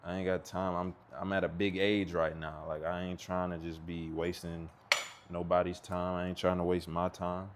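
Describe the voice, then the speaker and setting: Laid-back deep-voiced man in his thirties. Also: flat delivery, normal speed, very noisy. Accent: Texan. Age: 30s. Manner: laid-back, rambling, slangy. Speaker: cooking vlogger. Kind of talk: casual chat while cooking. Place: home kitchen.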